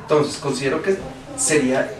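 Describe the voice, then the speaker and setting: A young man, a gastronomy lecturer calmly explaining ideas in an interview in a small office. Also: mid-range voice, moderate pace, reverberant, far from the mic, a crowd in the background, poor audio. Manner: explanatory, academic, casual slang. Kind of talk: interview answers.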